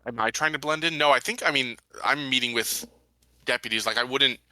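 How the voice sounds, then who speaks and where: A man playing a tabletop roleplaying game, explaining his character's actions in deliberate, rather formal wording. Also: mid-range voice, pushed and bright, dry recording.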